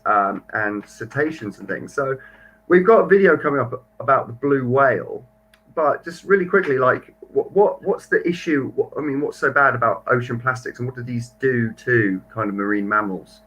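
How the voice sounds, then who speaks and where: Young man, bright forceful tone, casual livestream chat, webcam mic. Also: mid-range voice, muffled recording. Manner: chatty, reads viewer comments aloud. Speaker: marine biologist livestream co-host.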